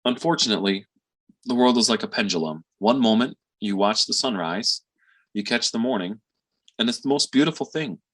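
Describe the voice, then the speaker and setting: An actor playing a weary, tender middle-aged father and physician in a reflective, halting stage monologue. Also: lowish voice, animated, fairly bright tone. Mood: tender, earnest. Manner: reflective, halting with sighs and pauses.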